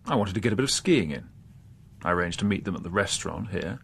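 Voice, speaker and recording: An actor playing an insolent young English suspect in a radio drama, flippant and evasive. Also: male voice, sits low, expressive, fast talker, creaky, fairly bright tone, a little hiss or hum, full character voice. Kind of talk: naturalistic radio drama acting.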